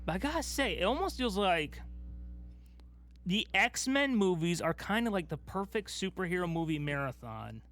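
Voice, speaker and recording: A man podcast co-host chatting casually about movies, telling things in an animated way and acting out his own reactions. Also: high-pitched, moderate pace, soft background music.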